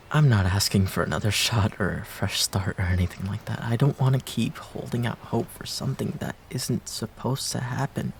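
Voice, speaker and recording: A man acting a heartbroken ex-boyfriend in an intimate romantic audio roleplay, pleading in a halting, breathy, emotional way. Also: mid-range voice, slight background noise, close to an everyday voice. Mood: quiet, pleading.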